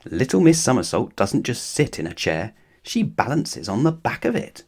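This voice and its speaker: A man reads a children's story aloud in a mid-pitched voice. His delivery is very animated, rising and falling widely as he addresses young listeners. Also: normal speed. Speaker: storybook narrator.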